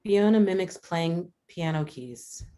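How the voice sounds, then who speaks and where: Female narrator for a script read, slightly low register, delivering screen directions. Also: lively intonation, takes it slowly, dark tone. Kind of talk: reading screenplay stage directions.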